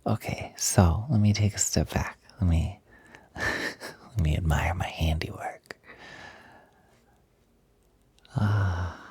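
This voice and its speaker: A man playing an affectionate, smitten young roommate in an intimate roleplay audio, talking in a soft, breathy, gently hesitant and playful way. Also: deep voice, slow, no echo, in a whisper, exaggerated voice. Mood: soft, focused. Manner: gentle, hesitant, giggly, softly doting. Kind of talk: intimate roleplay audio, casual improvised-sounding chat.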